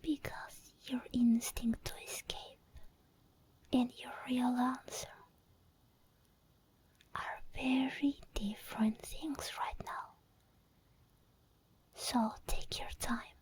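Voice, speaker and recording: Slow whispering obsessed demon girl, played by a woman. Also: fairly high pitch, steady tone, a little mellow. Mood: coaxing, menacing. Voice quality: breathy whisper. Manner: slow, deliberate, coaxing and controlling. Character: obsessed female sleep paralysis demon, possessive, teasing, menacing. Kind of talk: whispered ASMR roleplay.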